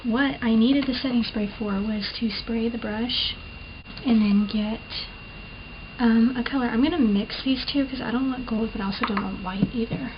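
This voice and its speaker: A woman's voice: a little high, fairly even intonation, moderate pace. Young woman chatting through a makeup tutorial. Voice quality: slight lisp from the recording. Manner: chatty, self-deprecating, rambling with fillers. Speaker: beauty vlogger. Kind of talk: casual makeup tutorial chat. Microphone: built-in camera mic. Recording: muffled lo-fi camera mic with clear hiss.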